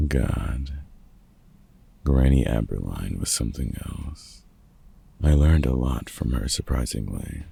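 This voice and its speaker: Gruff but kindly vampire hunter, played by a man for roleplay audio: heavily fried, dark, raspy voice, sardonic and grumbling. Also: extremely low pitch, heavily acted. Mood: wry, fond. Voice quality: gravelly, husky. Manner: dry, sarcastic, grumbling. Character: gruff, grudgingly kind older male vampire hunter. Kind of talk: roleplay ASMR audio drama monologue.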